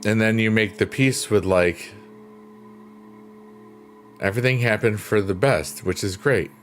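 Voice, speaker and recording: A middle-aged man with a very deep bass voice chats easily with his livestream viewers, relaxed and openly reflective. Clear piano music plays underneath him throughout. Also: unhurried. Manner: laid-back, chatty, openly reflective. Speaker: livestream host. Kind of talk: casual chat with live chat readers.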